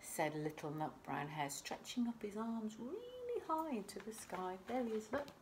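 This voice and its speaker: Expressive woman reading a bedtime story, lively pitch. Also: normal speed, slight background noise. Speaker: primary school storyteller.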